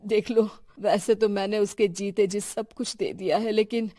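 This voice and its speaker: A woman voicing a mild, elderly father-in-law with a gentle, resigned manner in a cartoon story. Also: mid-range voice, steady tone, moderate pace, no echo, clean studio sound, close to an everyday voice.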